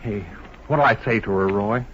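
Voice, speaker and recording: A man's voice: fairly low pitch, normal speed, a bit bright, natural voice. Guy doing a loyal rustic cowpoke sidekick, western american accent, plain-spoken, muffled old radio with faint noise. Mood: earnest. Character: loyal, soft-hearted bumbling cowboy sidekick. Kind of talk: 1940s radio western acting.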